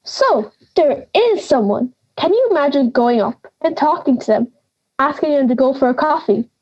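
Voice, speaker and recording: A woman's voice: sits high, animated, normal speed, lo-fi sound. A young woman playing a feisty, blunt granny of ninety in an audio drama, scolding and sarcastic. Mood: urging. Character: feisty, blunt ninety-year-old granny living in the woods.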